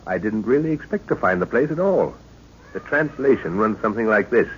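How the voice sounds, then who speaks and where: A man playing a middle-aged professor and father leading an expedition, measured and authoritative, in old-time radio drama style. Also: fairly low pitch, expressive, lightly acted. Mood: explaining. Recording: muffled, noisy old radio recording.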